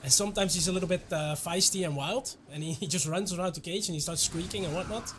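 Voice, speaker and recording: A Dutch man, a native Dutch speaker, chatting casually in English with his chat while streaming a game, relaxed and often chuckling. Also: high-pitched, quick pace, music in the background. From Netherlands.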